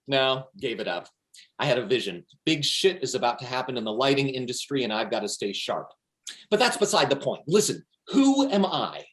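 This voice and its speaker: A man's voice: medium pitch, big pitch swings, moderate pace. A man playing a cocky, foul-mouthed slacker schemer in a comedy table read over a video call, talking in pushy, emphatic slang. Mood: excited, persuasive. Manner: slangy, emphatic, swinging between hushed and pushy.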